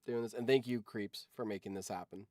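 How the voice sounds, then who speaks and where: A man's voice: fairly low pitch, steady tone, brisk, a little mellow, no echo, clean studio sound. A man hosting a livestream in casual chat, rambling and swearing freely as he talks. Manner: rambling, profane. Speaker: livestream host.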